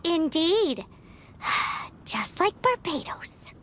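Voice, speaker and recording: A woman voicing a tiny, childlike fairy companion in a video game, squeaky, cheeky and exclamatory. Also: very animated, very slow, a bit bright, a little hiss or hum, heavily acted. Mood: wry. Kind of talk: animated video game voice acting.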